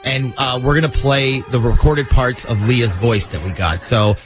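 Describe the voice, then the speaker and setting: A man's voice: mid-range voice, normal speed, soft background music. A man hosting a casual morning radio show, talking through a prank call.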